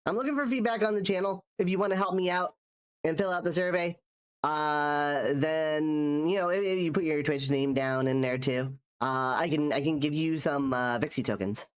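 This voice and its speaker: A man's voice: fairly high pitch, normal speed, clear, resonant tone. A man live-streaming while drawing, chatting casually and rambling, restarting his sentences, sounding nasal from a cold. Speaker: streamer and artist.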